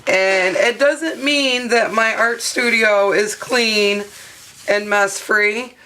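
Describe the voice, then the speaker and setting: Hoarse woman chatting slowly through a craft demo. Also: mid-range voice, background noise. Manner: chatty, rambling with fillers. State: hoarse, partly lost voice. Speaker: craft video host. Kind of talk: casual craft tutorial narration. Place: home craft studio, at a desk. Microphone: overhead camera mic, speaker off-frame.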